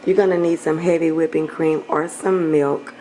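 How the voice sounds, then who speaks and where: A hoarse middle-aged woman chatting warmly to viewers while cooking in her home kitchen, often clearing her throat. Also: low voice, steady tone, moderate pace, rough recording. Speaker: cooking channel host. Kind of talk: casual cooking vlog chat.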